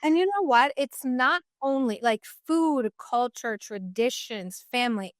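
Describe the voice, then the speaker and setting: A woman's voice: high-pitched, animated, measured pace, dry recording, clean studio sound. Earnest young woman from Mexico. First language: Spanish. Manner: earnest, many "like" fillers and restarts. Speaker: guest dietitian. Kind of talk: casual interview talk.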